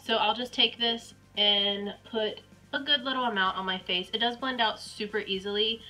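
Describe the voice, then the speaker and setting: A young woman with a Southern US accent talks through her everyday makeup routine, sounding congested and stuffy from a cold. Her voice is very bright and sharp, and she rambles casually with plenty of ums and likes. Soft music plays faintly behind her. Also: mid-range voice, fairly even intonation, normal speed, rough recording. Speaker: beauty vlogger.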